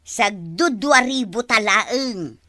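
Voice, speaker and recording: A woman's voice: a little high, animated, takes it slowly, bright, forceful tone, heavily acted. A woman with an Ilocano accent playing a fretful, nagging elderly village woman in a broad comedy. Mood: complaining. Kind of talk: broad barangay comedy acting.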